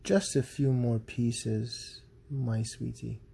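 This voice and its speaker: A man playing a gentle, achy father in a children's storybook, murmuring affectionately. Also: medium pitch, steady tone, takes it slowly, vocal fry, a little hiss or hum. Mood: sleepy, tender. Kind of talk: storybook read-along character voice.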